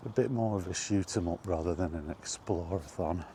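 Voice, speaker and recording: A middle-aged man giving a casual, rambling chat as he walks outdoors, in an unhurried, offhand manner. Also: low-pitched, warm tone. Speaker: video game vlogger. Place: outdoors, walking toward a park. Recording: outdoor walking recording with background noise.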